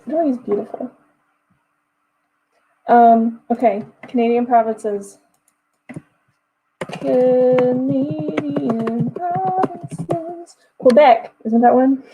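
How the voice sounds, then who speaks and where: A woman's voice: high voice, lo-fi sound, slight background noise. A woman of twenty-one from Texas, chatting casually with her livestream viewers and answering their messages as they come in. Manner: chatty, reads chat messages aloud and answers them. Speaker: livestream host.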